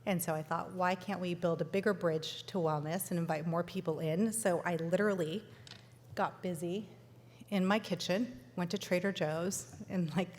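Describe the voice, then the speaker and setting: A woman's voice: lowish voice, steady tone, normal speed, a little mellow. A woman who grew up in Los Angeles gives an informal, conversational keynote as a founder. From Los Angeles, born in Sudan. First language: not English, learned it at five. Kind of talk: informal keynote talk to an audience. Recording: echoey hall, long reverb.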